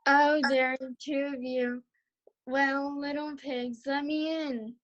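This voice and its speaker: A young reader playing a hungry, menacing wolf who taunts little pigs in a drawn-out way at an amateur table read. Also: sits high, level tone, fairly bright tone, lo-fi sound. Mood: taunting. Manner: slow, drawn-out, taunting delivery.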